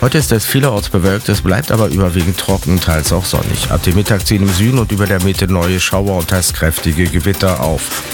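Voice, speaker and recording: A low-voiced man reads the sports and the weather in a steady, formal newsreader manner. Clear music plays beneath his reading. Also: a little fast, hardly any pauses. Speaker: radio newsreader. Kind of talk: scripted sports and weather news.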